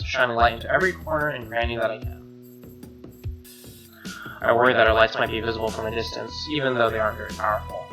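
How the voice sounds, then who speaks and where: A man reading a visual novel's narration aloud as a let's play host, wry and expressive, over faint music. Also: mid-range voice. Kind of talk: reading game narration with asides. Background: game music.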